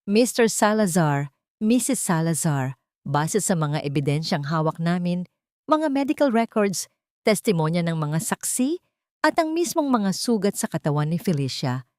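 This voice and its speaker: A woman voicing an unyielding male lawyer in an audio drama, formal and measured. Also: on the low side, sing-song, darkish tone, dry recording, clean studio sound. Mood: stern, formal.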